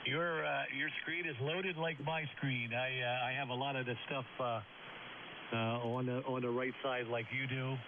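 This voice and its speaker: Gravelly male ham operator, rambling, halting, tinny radio with loud hiss. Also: medium pitch, fairly even intonation. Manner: rambling, halting, many fillers. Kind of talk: casual radio chat.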